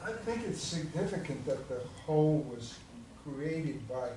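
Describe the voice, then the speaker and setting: A man with a somewhat high voice speaks very slowly and hesitantly. He is far from the mic in a very echoey room, with a faint hum behind him. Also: lively intonation, warm tone. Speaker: audience member giving feedback. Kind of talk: casual discussion.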